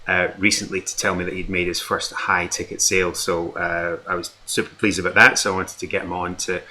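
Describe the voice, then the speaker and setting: A man's voice: low-pitched, level tone, fairly quick, bright, forceful tone. A man hosting a casual interview on a video call, asking upbeat questions with a few fillers. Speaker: host, marketing coach.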